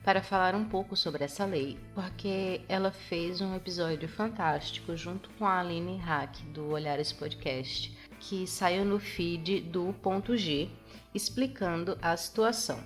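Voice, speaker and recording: A woman's voice: on the low side, measured pace, faint music behind. Brazilian woman host with a nasal cold voice. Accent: Brazilian Portuguese. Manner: chatty, self-correcting. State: recovering from a cold, slightly nasal. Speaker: podcast host. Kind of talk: casual solo podcast chat.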